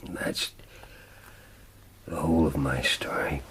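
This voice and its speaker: A man acting in a radio drama as a grim, unrepentant killer making a dramatic confession, his phrases sometimes hushed at the end. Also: fairly even intonation, takes it slowly, whispered, full character voice. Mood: defiant. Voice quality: very deep, gravelly.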